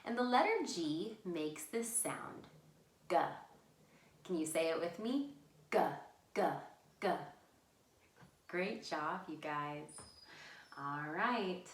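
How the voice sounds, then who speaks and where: A woman's voice: on the low side, animated, takes it slowly, long pauses, a little hiss or hum. A woman hosting an interactive storytime for young children, encouraging them and giving simple instructions. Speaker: children's library storytime host. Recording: echoey room sound.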